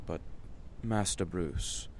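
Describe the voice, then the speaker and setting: A man playing a formal, loyal butler in an audio drama, measured and deferential. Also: low voice, slight background noise. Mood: polite, protective.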